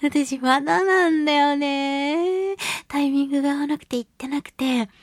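A woman's voice: high-pitched, normal speed, no room sound, studio quality. A woman hosting a casual solo radio show, chatting expressively and giggly as she reads and responds to listener mail. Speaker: radio show host, voice actress.

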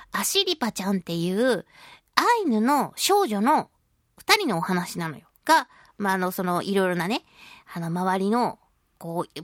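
A woman radio host talking casually to her listeners in an expressive, emphatic, chatty way, stretching words for emphasis. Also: medium pitch, no echo, clean studio sound. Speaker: radio show host and voice actress.

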